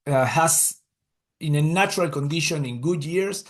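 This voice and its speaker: A man's voice: on the high side, normal speed. A man with an Argentine Spanish accent, giving a webinar talk over a video call in English, his second language, with many fillers and restarts. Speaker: guest speaker, conservation scientist. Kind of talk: webinar presentation. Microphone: computer mic on a video call.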